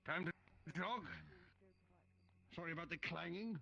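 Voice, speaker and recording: A man's voice: a little high, unhurried, creaky, pushed and bright, muffled recording, faint music behind, full character voice. A man playing a fretful, superstitious townsman in a fantasy roleplay, with a fussy, exclamatory way of talking. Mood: flustered. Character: fretful, superstitious male townsman afraid of ghosts. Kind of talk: improvised fantasy roleplay in a virtual world.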